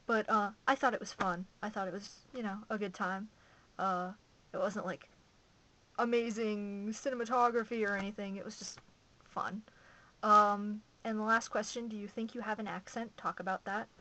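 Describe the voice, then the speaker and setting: A woman's voice: medium pitch, a bit bright, slight background noise, rough recording. A young woman from Massachusetts chatting casually on her home video blog, gushing and rambling with enthusiasm, recorded on a camera mic. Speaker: vlogger.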